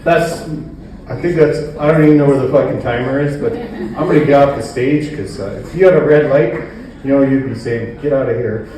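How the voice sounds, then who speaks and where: A man doing a stand-up comedy routine on stage, with a halting, rambling delivery. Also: mid-range voice, dark tone. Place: indoor comedy venue stage. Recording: echoey room, distant mic, faint noise.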